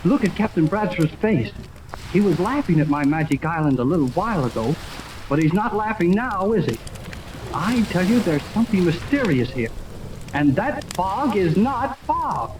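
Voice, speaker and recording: Excitable boyish radio operator, crackly old recording. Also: male voice, sits high, normal speed. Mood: insistent. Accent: American. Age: young adult. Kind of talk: 1930s radio serial acting.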